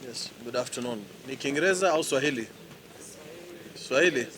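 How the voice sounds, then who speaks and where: A middle-aged man speaks as a company director, explaining his business steadily and moving between languages. His voice sits at an ordinary pitch. A strong wash of background noise runs through the recording. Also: animated. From Tanzania. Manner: measured, explanatory, switching languages. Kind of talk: business presentation. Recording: noisy on-site recording.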